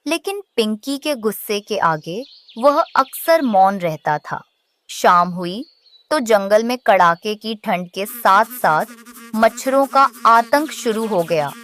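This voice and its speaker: A woman's voice: medium pitch, fairly bright tone. A woman narrator reading a scripted children's story.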